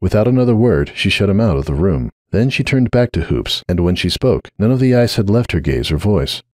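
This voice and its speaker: A man narrates a story in a fairly low voice with a very lively, dramatic range. The recording is dry and close, with no room sound. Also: fairly quick, dry recording. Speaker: narrator of a dramatic fan fiction reading.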